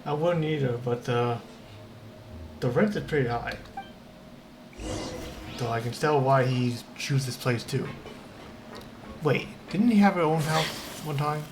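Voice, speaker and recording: A man giving casual, halting let's-play commentary, thinking aloud as he plays. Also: mid-range voice. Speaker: game streamer. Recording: noisy mic, game audio behind.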